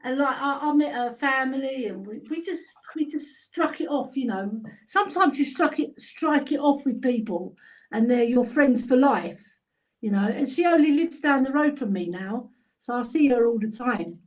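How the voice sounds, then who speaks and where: Middle aged london lady, pretty high voice, chatty, just nattering away casually. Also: expressive, normal speed, rough recording. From London, England. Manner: chatty, anecdotal. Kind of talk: casual chat.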